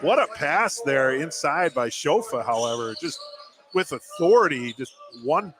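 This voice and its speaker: A man's voice: a little high, lively intonation, a little slow, a crowd in the background. A man giving conversational color commentary on a live basketball game. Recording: noisy gymnasium background. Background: basketball gym ambience, bouncing balls.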